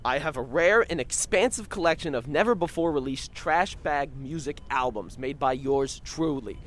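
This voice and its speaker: A man playing a goofy, earnest and excitable young hero in an audio fiction comedy. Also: normal speed. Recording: low rumbling background noise.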